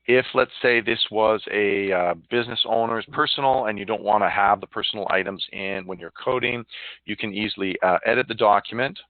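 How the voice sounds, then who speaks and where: A man giving a live online software demonstration, explaining informally and haltingly over a conference call. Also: fairly low pitch, dry recording. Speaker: software demo presenter. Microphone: phone or web conference call. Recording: narrow phone-like line.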